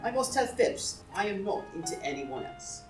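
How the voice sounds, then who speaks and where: Woman playing a lively, foppish young English lord. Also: mid-range voice, normal speed, close to an everyday voice. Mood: musing. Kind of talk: stage comedy group play reading. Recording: roomy live reading, distant mic, music.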